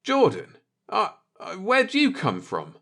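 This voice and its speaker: A man voicing a guilty, scheming spaceman in an audiobook, faltering and evasive as he feigns calm. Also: high-pitched, takes it slowly, creaky, pitched above the performer's own voice, full character voice. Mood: startled, fearful. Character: guilty, scheming spaceman hiding his panic. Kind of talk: dramatised audiobook character dialogue.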